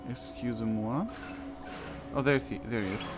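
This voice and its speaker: A man's voice: mid-range voice, moderate pace. A man casually commenting on a video game as he plays and reads quest text aloud, with dry, wry asides. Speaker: gaming video host. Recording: game music behind the voice. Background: video game music.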